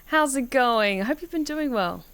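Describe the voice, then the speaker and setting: A woman's voice: sits high, expressive, moderate pace, a bit bright, clear, resonant tone. A woman streaming a visual novel playthrough, mixing commentary with reading aloud in a wry, theatrical way.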